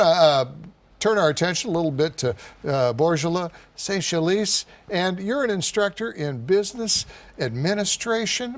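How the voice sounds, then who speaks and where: A man hosting a college programme, interviewing guests in a conversational style. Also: medium pitch.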